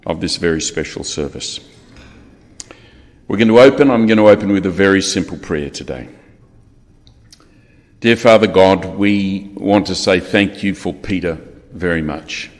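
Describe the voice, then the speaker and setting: A middle-aged man with an Australian accent, leading a funeral service in a measured, solemn and courteous way. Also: lowish voice. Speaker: funeral celebrant. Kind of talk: formal funeral address and prayer. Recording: long reverb of a large hall.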